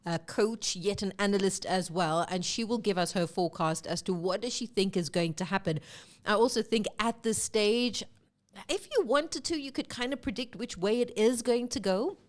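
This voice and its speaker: A woman from South Africa hosting a live sports talk show, chatty and lively, a bit congested from a cold. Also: medium pitch, moderate pace. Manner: chatty and lively, with fillers and self-corrections.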